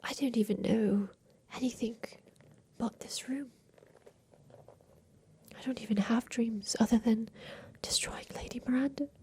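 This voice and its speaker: Woman playing tender captive attic girl, secretive, ASMR horror roleplay, whispered, higher-pitched, deliberate, repeating phrases. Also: a little mellow. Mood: secretive plotting.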